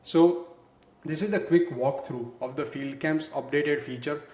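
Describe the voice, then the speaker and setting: A man presenting a software walkthrough in a conversational, explanatory way, leaning on filler words. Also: fairly high pitch, unhurried. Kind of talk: walkthrough demo narration. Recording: echoey, roomy sound.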